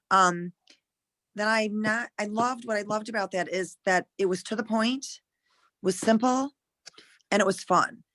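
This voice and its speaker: Hoarse-voiced woman chatting on a call. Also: mid-range voice, a bit bright, dry recording. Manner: chatty, rambling, full of restarts. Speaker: new sales consultant on a call. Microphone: video call.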